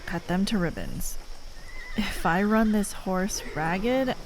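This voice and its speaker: An actress as a sharp-tongued female military operative in a fantasy roleplay, exasperated and reluctantly caring. Her pitch swings widely while her pace stays slow, broken into short sardonic phrases. Faint night ambience sits behind her. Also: lively intonation, background noise. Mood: reluctant, worried. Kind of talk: fantasy audio roleplay acting, addressed to a listener.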